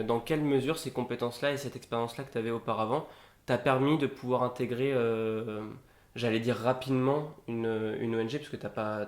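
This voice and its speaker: A man hosting an interview, asking long, hesitant questions. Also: medium pitch. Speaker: podcast interviewer. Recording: roomy echo.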